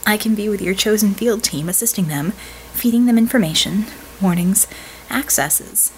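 An earnest young hacker-mage from an audiobook, acted by a woman reader. She explains herself assertively and has a dry wit. Her pitch is middling, over a faintly noisy background. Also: normal speed, lightly acted. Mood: earnest, determined. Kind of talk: audiobook character dialogue.

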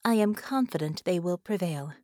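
A woman voicing a serene, polite artificial intelligence, measured and formal, in an audiobook. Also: on the low side, expressive, mellow, dry recording, clean studio sound, lightly acted.

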